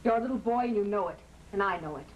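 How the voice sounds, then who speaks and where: A woman's voice: mid-range voice, animated, racing, natural voice. A woman playing a weary, bitter, discontented wife in an old-time radio drama, with a theatrical, accusing delivery. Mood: scornful. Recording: noisy vintage radio recording.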